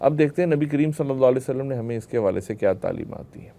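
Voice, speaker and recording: A man's voice: medium pitch, animated, racing, gravelly, warm tone. A man giving a religious lecture to a group, explaining through rhetorical questions and everyday examples. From Pakistan.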